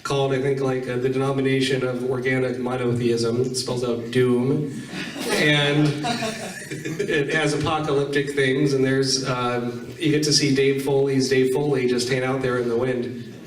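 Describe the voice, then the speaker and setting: A man's voice: medium pitch, flat delivery, normal speed. A man hosting a casual panel talk, putting questions to an audience. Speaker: panel host at a gaming expo. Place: convention panel room. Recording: echoey room, distant microphone.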